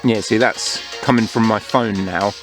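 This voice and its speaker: A man giving a casual, unhurried hands-on product review, explaining each feature as he goes. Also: on the low side, steady tone, music in the background. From United Kingdom. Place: outdoors among greenery. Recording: clear outdoor background noise.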